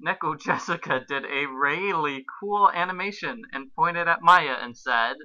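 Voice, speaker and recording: Male podcast narrator, sharp bright tone, slightly high pitch, amused, mock-serious, amateur comic story reading. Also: unhurried, muffled recording. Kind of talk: comic amateur reading of fan fiction aloud.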